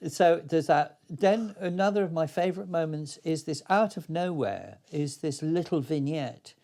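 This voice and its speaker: A man's voice: a little high, moderate pace, warm tone. An older man speaking reflectively as an interview guest, hesitant and thoughtful but enthusiastic. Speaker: interview guest, playwright and actor.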